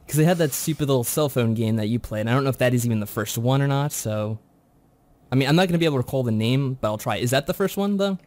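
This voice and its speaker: A man's voice: medium pitch, expressive, fast, darkish tone. A man chatting casually as a quiz contestant, wry and self-deprecating. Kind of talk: casual chat.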